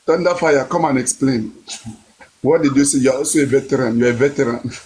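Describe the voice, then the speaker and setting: A man hosting a heated live-stream debate, cutting in with drawn-out exclamations. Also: medium pitch, fairly even intonation, a little mellow, husky, poor audio.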